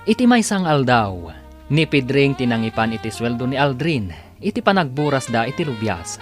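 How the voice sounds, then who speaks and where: A man's voice: medium pitch, very animated, moderate pace, lightly acted. A man narrates a radio drama serial in a dramatic announcing style over music. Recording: music under the voice. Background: musical instruments.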